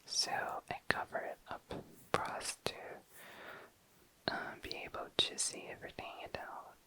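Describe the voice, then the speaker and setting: Whispered ASMR, young adult host, slow pace, bright sibilant tone, casual and tentative. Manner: unhurried, tentative, self-correcting. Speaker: host of an ASMR makeup video. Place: indoors, tabletop close-up video.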